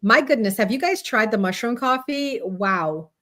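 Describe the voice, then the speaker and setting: A woman's voice: a little high, lively intonation, moderate pace, a bit bright. A woman from Guyana hosting a casual group discussion online, speaking informally to co-panelists and listeners. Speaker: host of an online panel talk.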